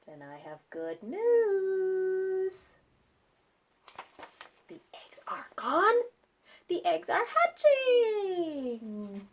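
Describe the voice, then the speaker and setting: A woman voicing a calm, helpful little storybook pig who explains things patiently and matter-of-factly. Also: very high voice, extremely slow, pauses often, voice pushed up, full character voice.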